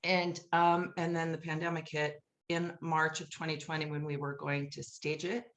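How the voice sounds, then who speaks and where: A woman's voice: sits low, level tone, moderate pace. A woman speaking casually and conversationally as a guest in a podcast interview. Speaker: podcast guest, musical writer.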